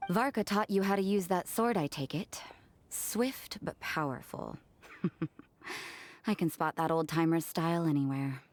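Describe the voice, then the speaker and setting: A woman's voice: fairly low pitch, measured pace, a little hiss or hum, lightly acted. An actress playing a cool, sardonic young woman in a video game, with a dry, teasing, aloof manner. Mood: amused, teasing.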